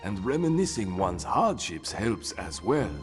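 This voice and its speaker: An actor playing a wise, elderly rat sensei in a video game, speaking in a measured, formal, fatherly way. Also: lowish voice, big pitch swings, faint music behind. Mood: fatherly, proud. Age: older. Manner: measured, formal, gently instructive. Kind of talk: video game cutscene acting.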